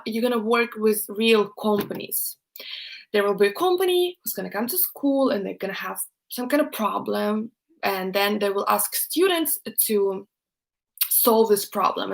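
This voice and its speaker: Russian-accented woman, twenties, slightly fried voice, casual, halting, lots of ums. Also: medium pitch, creaky. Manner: hesitant, many fillers and restarts. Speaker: YouTuber answering viewer questions. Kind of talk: casual livestream chat.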